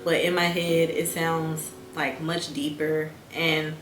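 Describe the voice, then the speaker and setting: A young adult woman talking in a calm, soft-spoken, reflective way in a casual vlog. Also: low-pitched, slow. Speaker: YouTuber. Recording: echoey room with music bed.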